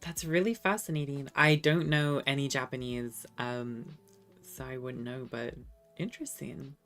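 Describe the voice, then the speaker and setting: A woman's voice: very deep voice, steady tone, unhurried, fairly bright tone, soft background music. A young woman with a Californian accent that has drifted over time, chatting casually on a live stream and reading out viewer comments. She rambles with many ums and restarts and laughs often, and she says she is feverish. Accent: Californian American, shifted by time abroad.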